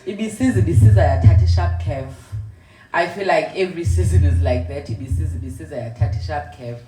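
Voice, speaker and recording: A South African woman speaking as a podcast guest, animated and laughing as she mixes Xhosa and English. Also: deep voice, fast. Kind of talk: casual panel chat. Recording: echoey room with music behind.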